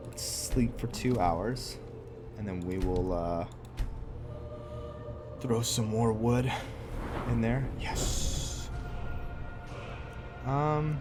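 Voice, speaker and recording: A man giving casual let's play commentary, thinking aloud in short, musing phrases. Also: low voice. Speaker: gamer narrating own roleplay playthrough. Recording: loud music behind the voice.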